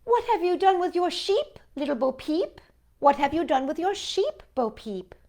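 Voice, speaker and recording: A woman reading the part of a gentle, earnest small boy from a nursery rhyme in lilting, measured verse. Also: very high-pitched, animated, pitched above the performer's own voice. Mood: gently questioning.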